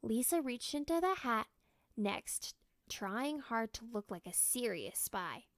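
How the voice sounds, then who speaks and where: A woman narrates a children's story in a fairly high voice with a slightly creaky edge. She reads expressively, like a storyteller, in a close, dry recording. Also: slow pace, dry recording. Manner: animated, acts out character lines. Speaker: storybook reader.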